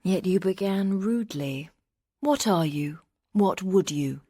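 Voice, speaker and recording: A haughty young lady of a great house, proud and sharp-witted. She speaks at a measured, leisurely pace with arch, teasing self-possession, in classical radio drama style. The studio sound is close and dry. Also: on the low side, lively intonation, breathy edge, no echo, full character voice. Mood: cool, curious. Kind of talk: Shakespearean radio drama acting.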